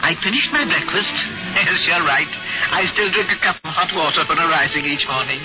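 A woman's voice: low-pitched, fairly quick, close to an everyday voice. An older woman playing a genteel, lonely widow in formal, precise diction, in 1940s radio drama style. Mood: chatty, reminiscing. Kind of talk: 1940s radio drama acting, letter narration. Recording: muffled 1940s radio broadcast, loud music.

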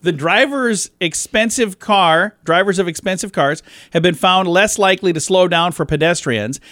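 A man's voice: high-pitched, moderate pace, a bit bright, no echo, studio quality. A man hosting a radio show, friendly and jokey while interviewing a guest and swapping trivia.

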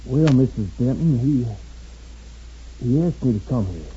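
An actor playing an earnest, plain-spoken young soldier in a 1950s radio western. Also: male voice, mid-range voice, lively intonation, measured pace, warm tone, close to an everyday voice. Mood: smooth, grave. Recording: dull 1950s radio recording.

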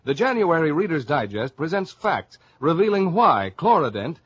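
A man's voice: on the high side, sing-song, normal speed, fairly bright tone, husky. A man announcing in a scripted 1950s radio advertising style. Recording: dull vintage radio broadcast recording.

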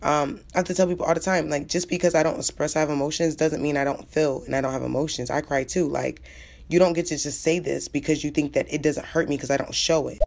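Open-hearted woman with a gravelly edge. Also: fairly even intonation, fast, a little mellow. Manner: open and confessional, talks low but rises when passionate. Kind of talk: casual panel discussion.

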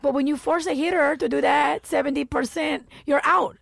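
A woman voicing an excitable, blunt male ballplayer in a put-on Dominican Spanish accent, loud and emphatic. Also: sits high, moderate pace, gravelly, dry recording, clean studio sound, pitched above the performer's own voice, full character voice. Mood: indignant.

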